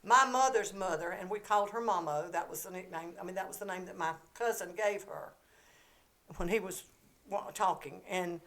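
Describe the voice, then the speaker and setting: Lively Southern woman in her seventies. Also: fairly low pitch, moderate pace. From Georgia, US. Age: 70s. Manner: lively, laughing, playful storyteller. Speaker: guest. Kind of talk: casual storytelling chat.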